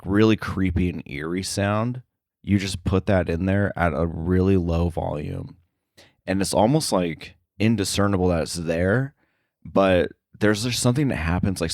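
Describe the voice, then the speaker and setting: Man, low pitch, dry sound, casual interview guest, hesitant, thinks out loud. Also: no echo. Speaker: podcast guest, sound effects editor.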